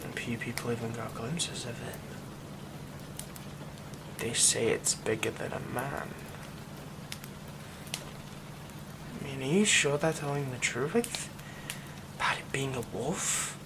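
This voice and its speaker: A man voicing a grieving, reclusive young man in an ASMR audio roleplay, speaking in soft half-whispers that break into bitter, scornful outbursts. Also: mid-range voice, animated, measured pace, long pauses, noisy background, natural voice. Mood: hushed, wistful.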